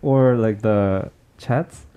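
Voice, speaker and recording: A dark-toned, mellow host leads a relaxed music interview. The host is chatty and playful and trips over words and restarts often. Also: medium pitch, very slow, smooth. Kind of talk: casual interview chat.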